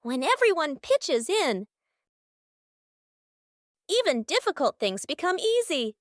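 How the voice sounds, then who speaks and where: A woman's voice: high voice, big pitch swings, slow pace, dry recording, studio quality. A woman narrating a children's cartoon from a script, in short, simple storybook sentences.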